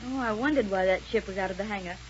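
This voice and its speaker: A woman's voice: medium pitch, fast, close to an everyday voice. A woman playing a capable, cautious space adventurer in a 1930s radio serial, earnest and questioning. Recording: muffled, noisy 1930s radio recording.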